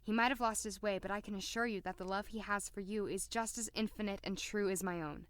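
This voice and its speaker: A woman's voice: medium pitch, fairly even intonation, fairly quick, no echo, natural voice. A woman playing a determined, sly female doctor in an audio drama, polite but pointed. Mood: sombre reading.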